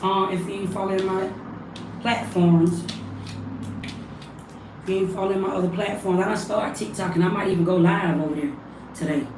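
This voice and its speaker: A woman with a raspy, hoarse voice, chatting loosely on a livestream as a spiritual reader and calling her viewers family. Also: mid-range voice, steady tone, moderate pace, long pauses, background noise. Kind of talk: casual livestream chat. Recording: echoey room, mic at a distance.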